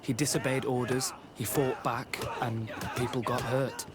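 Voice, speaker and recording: A man playing a tormented, bitter young military recruit in a video game cutscene, speaking gravely and earnestly. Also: medium pitch, little pitch movement, measured pace, over loud music, natural voice. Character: tormented young male recruit, grim, bitter, guilt-ridden. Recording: clearly noisy game audio with ambience.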